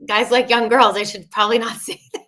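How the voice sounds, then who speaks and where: A woman's voice: a little high, level tone, fast talker, pushed and bright. A woman in her thirties, a coach talking as a podcast guest, speaking openly in a chatty way with many fillers and restarts. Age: 30s. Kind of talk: candid casual interview.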